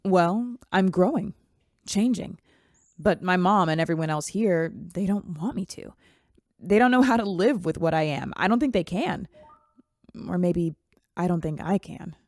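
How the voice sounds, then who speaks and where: Earnest computer-born girl, searching, lowish voice, dry recording. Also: a little slow, darkish tone, no room sound. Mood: troubled.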